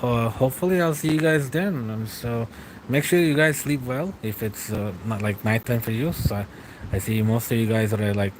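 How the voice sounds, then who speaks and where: A young man streaming late at night from home, answering live chat in a relaxed, rambling way, often laughing and trailing off. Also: medium pitch, noisy background.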